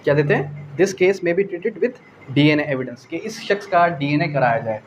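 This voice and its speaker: Man, Pakistani accent, slightly high voice, didactic law lecturer, repetitive for emphasis, English terms mixed in, dull lo-fi recording. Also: lively intonation, unhurried. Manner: didactic, repeats phrases for emphasis; mixes English legal terms into speech. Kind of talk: explanatory lecture with rhetorical questions. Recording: dull lo-fi audio, faint background noise.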